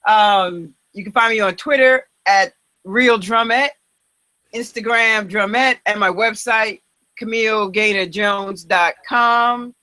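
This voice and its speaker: A woman from Queens, New York, a drummer and guest, talking in quick, scattered bursts. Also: medium pitch, pushed and bright, no room sound. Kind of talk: casual chat.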